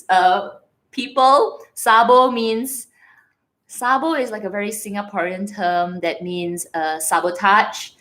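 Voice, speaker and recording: A woman's voice: medium pitch, a bit bright. A young woman from Malaysia with a Malaysian English accent and bits of Singlish, chatting casually on a livestream, laughing often and reading out viewer comments. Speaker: livestream host.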